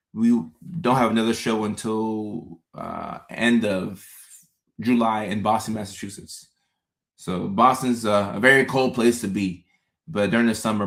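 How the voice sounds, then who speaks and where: A man in his thirties from Ghana talks casually to his viewers in a somewhat low voice. His manner is loose and chatty, circling back to repeat phrases as he reads comments aloud. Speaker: host. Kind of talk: casual livestream chat.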